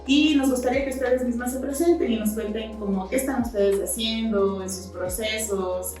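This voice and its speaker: A woman's voice: fairly high pitch, moderate pace, darkish tone. A woman co-hosting a podcast, talking loosely with many fillers and restarts. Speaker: podcast co-host. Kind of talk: casual podcast chat. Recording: echoey room, distant mic, faint music.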